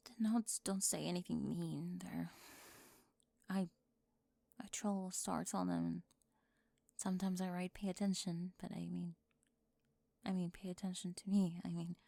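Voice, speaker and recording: A woman playing a flustered, melodramatic high school mean girl with a secret crush, stammering defensively in an ASMR audio roleplay. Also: fairly even intonation, measured pace, creaky, long pauses, dark tone, no echo. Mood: flustered, hushed.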